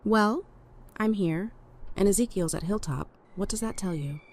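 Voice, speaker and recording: A woman's voice: medium pitch, moderate pace, darkish tone, lightly acted. A woman playing a weary, tough middle-aged woman in an audio drama, guarded and grieving, with clipped, plain speech. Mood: weary, sad.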